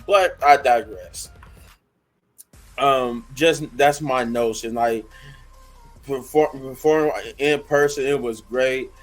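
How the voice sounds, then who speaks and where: Hoarse, rambling man. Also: medium pitch, slow pace, long pauses, soft background music. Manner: rambling, many fillers and restarts. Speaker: wrestling reviewer. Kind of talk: casual unscripted review.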